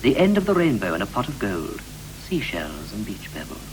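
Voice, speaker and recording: A wistful dad in an old 60s radio play, low voice that swings around a lot, poetic, bit of hum. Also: male voice, huge pitch swings. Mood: nostalgic. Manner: measured, poetic, reflective delivery. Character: wistful married father looking back on family life. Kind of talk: 1960s radio drama, poetic dialogue. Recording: noisy, humming 1960s radio recording.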